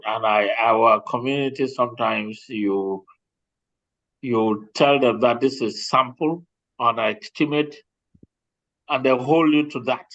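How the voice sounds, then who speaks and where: A man's voice: mid-range voice, a bit bright. A man speaking as an insurance representative on a group call, explaining informally and often repeating himself as he goes. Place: remote online group call. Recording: dull, lo-fi call audio.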